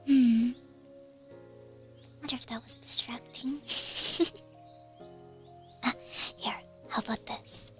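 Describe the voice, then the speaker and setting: Soft, high-voiced whispering woman. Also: steady tone, faint music behind. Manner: gentle, unhurried, chatting to listeners. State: hoarse. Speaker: streamer performing as a flower fairy character. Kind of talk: soft ASMR roleplay, whispering and a cappella lullaby singing.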